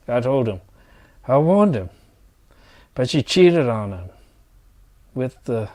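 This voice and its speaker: Slow-talking, grumbling male mine engineer, acted by a man. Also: medium pitch, a little mellow, lightly acted. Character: set-in-his-ways, grumbling middle-aged male mine engineer, weary husband. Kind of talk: dramatized audio drama dialogue.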